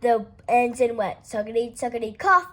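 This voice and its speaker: A woman reading a rhyming children's picture book aloud with playful, expressive delivery. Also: fairly high pitch. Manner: unhurried, expressive read-aloud with playful character voices. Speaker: storytime reader.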